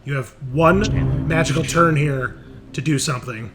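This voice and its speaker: A man's voice: fairly high pitch, unhurried, a crowd in the background. A man running a tabletop roleplaying game, casually explaining rules and narrating the action with some swearing. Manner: casual, profane. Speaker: game master.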